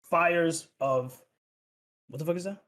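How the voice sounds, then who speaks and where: A man's voice: fairly high pitch, slow pace, fairly bright tone. A man hosting a casual gaming podcast, talking loosely with lots of fillers, restarts and swearing. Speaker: podcast host.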